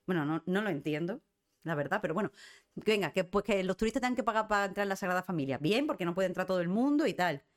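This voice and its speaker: A woman's voice: medium pitch, animated, fast. A woman with a Spain Spanish accent, hosting a live stream with casual, ranting chat in a blunt, sarcastic, sweary manner. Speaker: host of a live cultural current-affairs show.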